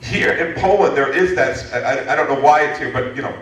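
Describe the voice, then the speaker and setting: Animated American man in an echoey hall. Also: medium pitch, a little fast, recorded from a distance, noisy background. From United States. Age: 50s. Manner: animated, joking, frequent restarts. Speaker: guest speaker, entrepreneurship lecturer. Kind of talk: lively Q&A answer to an audience. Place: lecture hall.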